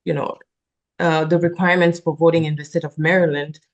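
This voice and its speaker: A woman's voice: low voice, flat delivery, brisk, dull audio. A woman hosting an interview, asking questions politely and encouraging her guest with short affirmations. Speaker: host, interviewer.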